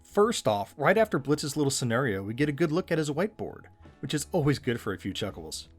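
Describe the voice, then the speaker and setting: A man co-hosting a conversational commentary on an animated episode, with an animated, bantering delivery. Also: medium pitch, a little fast, slightly rough, soft background music. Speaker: co-host of fan commentary video.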